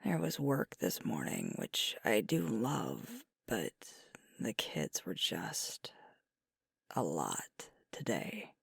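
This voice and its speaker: A woman playing a sweet, weary werewolf girlfriend in an ASMR roleplay, confiding softly and haltingly in a breathy voice. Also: a bass voice, wildly swooping pitch, dry recording, clean studio sound, exaggerated voice. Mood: weary, wry. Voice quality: soft, breathy, whispery. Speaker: ASMR voice actor. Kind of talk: ASMR roleplay, intimate one-sided conversation.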